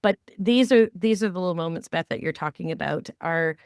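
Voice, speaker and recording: A woman hosting a podcast, talking conversationally and explaining with animated examples. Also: fairly low pitch, a little fast, clear, resonant tone, dry recording, clean studio sound. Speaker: podcast host. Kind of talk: conversational training discussion.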